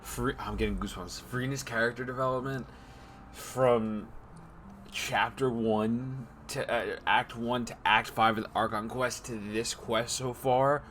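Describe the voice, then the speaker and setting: A man's voice: mid-range voice, steady tone, measured pace, fairly bright tone, soft background music. A man giving casual reaction commentary on a video game, slangy and sweary, repeating himself as he goes.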